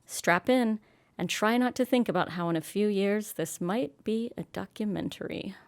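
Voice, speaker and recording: A woman presents an audio fiction series in a mid-pitched voice. Her scripted links are wry and tongue-in-cheek, delivered as a host between stories. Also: normal speed.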